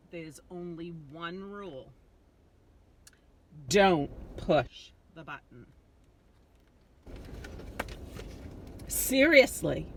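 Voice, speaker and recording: A woman voicing an excitable, mischievous little male creature from a children's storybook, coaxing and exclaiming playfully. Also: mid-range voice, slight background noise.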